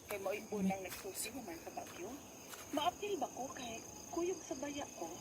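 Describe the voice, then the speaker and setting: Actress as worried Cebuano wife, high-pitched, noisy recording. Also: female voice, sing-song, normal speed. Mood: sorrowful, recounting. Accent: Filipino, Cebuano. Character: worried wife and letter sender recounting her family's troubles. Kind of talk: dramatized narration in a radio drama.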